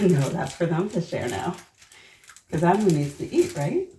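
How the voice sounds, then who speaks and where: A woman reading a children's story aloud, pausing to prompt young listeners with questions. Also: on the low side, big pitch swings, dark tone. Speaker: children's storybook reader and host. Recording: roomy echo, distant mic, faint noise.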